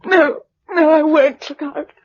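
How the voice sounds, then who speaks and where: A woman's voice: high voice, fairly even intonation, measured pace. An actress with an English accent playing an anxious, tender woman surviving a catastrophe in a vintage radio drama, with an emotive delivery. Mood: distressed. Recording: muffled vintage radio recording.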